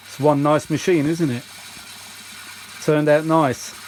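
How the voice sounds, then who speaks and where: British man chatting over clear background noise. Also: on the high side, steady tone, slow. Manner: rambling, muttering asides to himself. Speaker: vintage audio hobbyist and video host. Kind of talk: casual hands-on demonstration chat.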